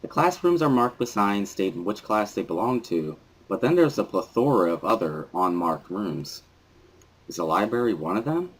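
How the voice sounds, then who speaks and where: Low-voiced man narrating dramatically. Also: very animated, normal speed, husky, low-quality recording. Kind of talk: dramatic first-person reading of a visual novel.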